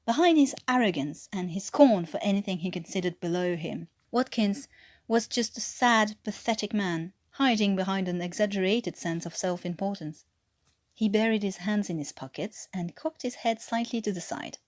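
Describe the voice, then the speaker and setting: A native French-speaking woman with a French-tinged English accent, reading a story aloud as an expressive narrator. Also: mid-range voice, moderate pace. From France. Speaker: fan fiction author reading aloud.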